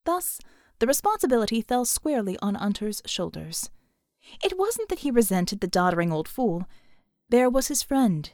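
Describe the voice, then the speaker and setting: A woman narrating a scripted audiobook novel in a measured, expressive storytelling manner. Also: a little high, no echo, clean studio sound.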